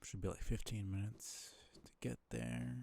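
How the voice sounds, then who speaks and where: Young adult male streamer, lowish pitch, relaxed mellow tone, unhurried casual gameplay commentary. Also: vocal fry. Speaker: game streamer.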